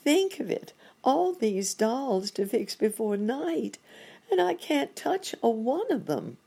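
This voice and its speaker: A woman's voice: a little high, sing-song, slow pace, gravelly, mellow, slightly rough, pitched above the performer's own voice, heavily acted. A woman voicing a flustered, self-pitying male monkey doctor in a children's story, exclaiming and lamenting with wordy politeness. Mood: overwhelmed, fretting.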